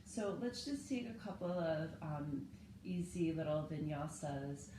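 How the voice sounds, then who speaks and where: A woman in her thirties with a low, slightly hoarse voice gently leads a home yoga session. She cues each inhale and exhale calmly and unhurriedly. The camera sits on the floor of an echoey living room, with a faint hiss behind her. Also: a little slow. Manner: calm, gentle cueing with breath. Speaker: yoga and meditation teacher. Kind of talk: guided yoga instruction.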